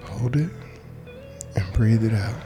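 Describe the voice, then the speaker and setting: A man with a lowish voice leads a guided meditation. He speaks informally and encouragingly, like someone talking plainly to listeners. Music plays clearly behind his voice. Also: takes it slowly. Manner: casual, colloquial, encouraging.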